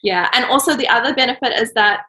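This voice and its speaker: Bright-voiced woman author, echoey room. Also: a little high, fast. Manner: laughs often, chatty, many "like"s. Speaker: children's book author and illustrator. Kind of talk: casual interview chat.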